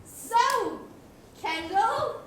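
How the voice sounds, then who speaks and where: A woman's voice: extremely high pitch, animated, extremely slow, exaggerated voice. A young woman on stage playing a blustering, melodramatic character in a farcical comedy. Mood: blustering outrage. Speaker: student actor in one-act comedies. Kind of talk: broad comic stage acting. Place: theatre stage, recorded from the audience. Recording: echoey noisy hall, recorded from far off.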